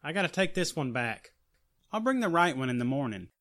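A rough, apologetic delivery man acted by a man in a 1940s-style radio play. His voice is fairly high and sharp, with folksy working-class phrasing. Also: sing-song, brisk. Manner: folksy, ungrammatical speech.

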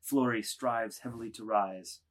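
A man reading stage directions as the narrator of a literary drama, in a measured scripted delivery. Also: lowish voice, fairly even intonation.

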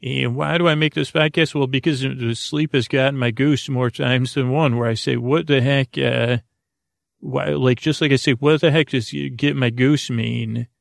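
A man with a very creaky, low-key voice hosts a bedtime podcast, recorded close and dry. He rambles soothingly and haltingly, drifting off into digressions and circling back. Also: fairly even intonation, dry recording. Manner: lulling, soothing. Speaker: podcast host.

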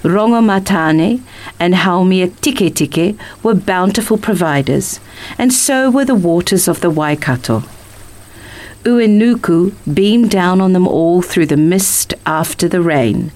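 A woman narrates a children's picture book. Her voice sits a little low, and she reads the scripted story as its storyteller. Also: animated, unhurried, a little mellow.

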